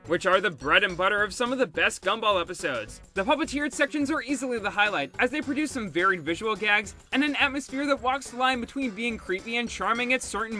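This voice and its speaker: A man's voice: extremely high pitch, bright, forceful tone. A man reading a scripted review as host, with music behind him. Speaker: host reviewing cartoon episodes. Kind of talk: scripted narration.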